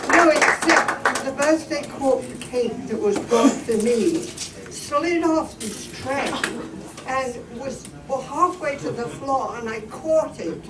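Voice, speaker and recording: A woman's voice: high voice, slow, very noisy. A woman making casual remarks to the audience at a live show. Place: live show inside a hardware store. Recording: echoey, distant, loud crowd. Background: loud audience.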